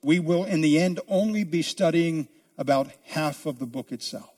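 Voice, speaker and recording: A man preaching an expository sermon as a pastor, speaking deliberately with drawn-out, emphatic phrases. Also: on the high side, darkish tone. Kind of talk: expository sermon, teaching a Bible book.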